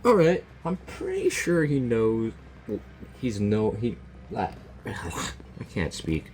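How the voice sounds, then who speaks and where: A man's voice: fairly low pitch, huge pitch swings, takes it slowly, a little mellow, slight background noise. A man doing casual, blunt and joking reaction commentary as he plays a video game. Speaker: gaming video creator. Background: faint game music.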